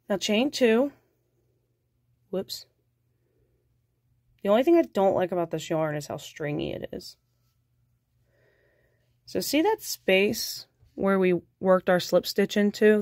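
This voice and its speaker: A woman's voice: on the low side, no room sound, studio quality. Nasal woman with a cold teaching crochet. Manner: calm, patient, counts stitches aloud. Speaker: crochet instructor. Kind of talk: step-by-step craft tutorial.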